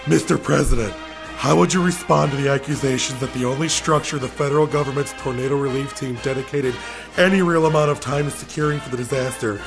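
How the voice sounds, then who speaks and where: A man acts a formal news reporter in a satirical comedy. His mid-pitched voice is gravelly and creaky, with music clearly behind it. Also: fast talker, close to an everyday voice. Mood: accusing. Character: formal male press reporter questioning a president.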